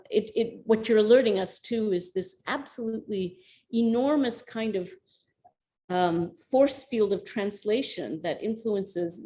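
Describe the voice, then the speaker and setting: A woman's voice: medium pitch, mellow. A woman lecturer answering an audience question over a video call, speaking thoughtfully with frequent restarts. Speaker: guest lecturer.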